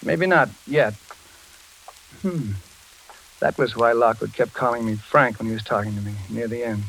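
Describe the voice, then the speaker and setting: An actor playing a grim, resolute engineer with a blunt, decisive manner in 1940s radio style. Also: male voice, medium pitch, normal speed, a bit bright, close to an everyday voice, rough recording. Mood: grim, urgent. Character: grim, resolute male engineer bent on solving murder.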